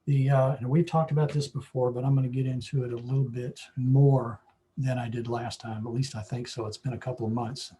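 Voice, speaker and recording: A hoarse man teaching a photo-editing lesson, explaining at an easy pace with lots of fillers. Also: mid-range voice, a little fast. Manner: relaxed, explanatory, with frequent fillers. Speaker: photography club instructor. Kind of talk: screen-share software tutorial.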